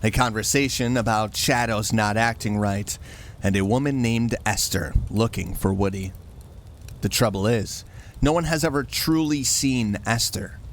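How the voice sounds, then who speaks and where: A man hosting with a scripted, campfire-style introduction to a horror story, told in a measured, mysterious way. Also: on the low side, slight background noise. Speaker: host.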